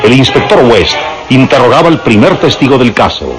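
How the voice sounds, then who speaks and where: A man's voice: mid-range voice, lively intonation, normal speed, low-quality recording. A man narrating a radio drama from a script in a dramatic style. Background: music.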